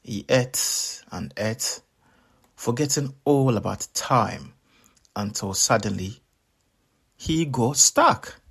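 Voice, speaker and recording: A man narrates a children's story with dramatic, deliberate phrasing. His voice is gravelly and husky, and he takes his time with each phrase. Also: mid-range voice, animated. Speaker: storyteller reading aloud.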